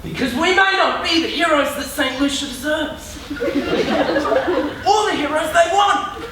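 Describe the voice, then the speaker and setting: A man's voice: very high-pitched, measured pace. A man playing an indignant, self-righteous superhero in a comic stage play, with overblown declamation. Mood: defiant. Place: stage in a hall, filmed from the audience. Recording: very noisy echoey hall from far off.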